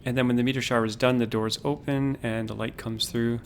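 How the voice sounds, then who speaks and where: Calm, low-key man streaming a game. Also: a little hiss or hum. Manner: relaxed, unhurried, thinks aloud with restarts. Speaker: livestreamer. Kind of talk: casual gameplay commentary.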